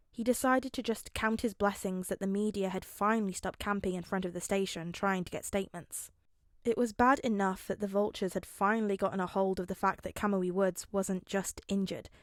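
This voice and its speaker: A woman's voice: medium pitch, no echo. Woman narrating fiction in a dry recording. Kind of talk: scripted audiobook narration.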